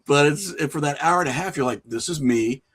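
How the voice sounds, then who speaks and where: A man's voice: fairly high pitch, fairly quick, fairly bright tone, slightly rough. A middle-aged man talking casually and reflectively as an interview guest, telling things in an informal, anecdotal way with a bit of chuckling. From small rural town. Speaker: podcast guest, horror filmmaker and writer.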